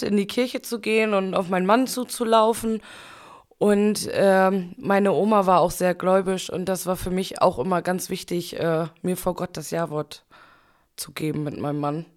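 Chatty woman in her thirties. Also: mid-range voice. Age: 30s. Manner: chatty, storytelling with fillers. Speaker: bride, guest. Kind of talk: casual interview talk.

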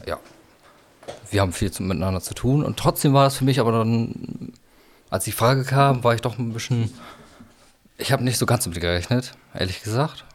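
A man in his thirties, a best man, speaking haltingly while searching for words. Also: mid-range voice. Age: 30s.

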